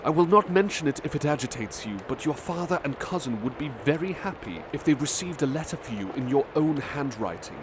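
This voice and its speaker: A man's voice: animated, brisk, few pauses, natural voice. A man voicing a caring young male friend who pleads in a raised voice over street noise. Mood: gentle. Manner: pleading, high-pitched.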